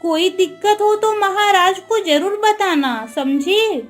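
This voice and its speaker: A woman acts out a motherly cartoon queen, gentle and dignified. Her voice is extremely high and unhurried, with music faintly behind it. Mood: kindly. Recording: music under the voice.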